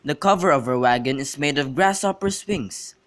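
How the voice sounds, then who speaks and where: A young man in an amateur radio drama, playing a witty, teasing young gentleman and declaiming in a bantering way. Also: on the high side, lively intonation, normal speed, close to an everyday voice. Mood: fanciful storytelling. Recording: clearly noisy amateur recording with clicks.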